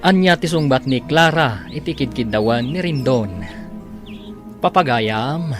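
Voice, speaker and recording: Slow, measured male radio drama announcer. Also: medium pitch. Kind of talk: dramatic scripted narration. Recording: loud music bed behind voice.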